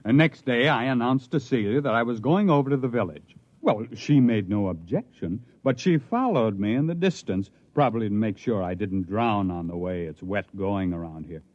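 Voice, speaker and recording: A man's voice: fairly low pitch, animated, moderate pace, no room sound, lightly acted. An actor playing a proud, intense stranded spaceman telling his story in a 1950s radio drama, with emphatic, dramatic delivery. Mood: wry, wistful. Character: stranded human spaceman recounting his life among aliens, proud, intense. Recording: muffled vintage 1950s radio recording.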